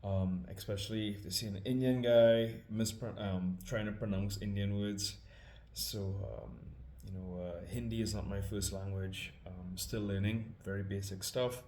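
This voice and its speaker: A man with a Trinidadian accent, hosting scripture readings, speaking in a casual, hesitant, humble way with many pauses and fillers. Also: fairly low pitch, steady tone, darkish tone, echoey room. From Trinidad and Tobago. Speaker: scripture reader. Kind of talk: casual spoken introduction.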